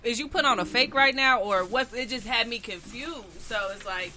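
A young woman shares her experiences in a reflective, storytelling way. Her voice sounds bright and clear. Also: on the high side, normal speed. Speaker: panelist. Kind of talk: round-table discussion.

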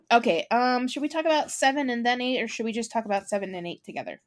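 A woman co-hosting a podcast in casual chat, talking with eager enthusiasm and frequent quick restarts. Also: a little high, expressive. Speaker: podcast co-host.

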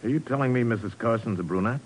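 A man's voice: mid-range voice, fast talker, natural voice, poor audio. An actor playing a tough, wary male crime boss with a curt manner in a 1950s radio drama. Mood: curt, alarmed.

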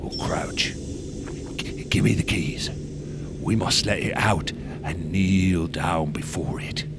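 An actor playing a deranged, fanatical wax sculptor in a horror radio drama, theatrical and gloating. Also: male voice, deep voice, takes it slowly, heavy background noise. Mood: hushed zealous menace. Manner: theatrical, swinging from whispers to shrieks, cackling.

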